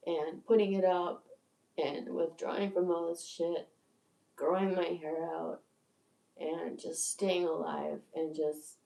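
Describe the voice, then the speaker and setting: Give me a low, dark-toned woman of sixty rambling and swearing freely, in a roomy, echoing space. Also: vocal fry. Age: 60s. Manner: stammering restarts, profane, candid. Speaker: video creator. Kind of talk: rambling livestream monologue. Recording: echoey room, distant from mic.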